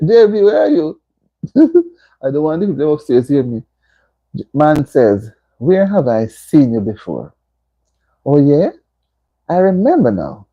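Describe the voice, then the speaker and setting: Husky woman hosting a playful livestream. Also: lowish voice, dark tone, dull audio. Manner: reads viewer comments aloud, laughing and teasing. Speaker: livestream host. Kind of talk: casual livestream chat. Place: indoors at home.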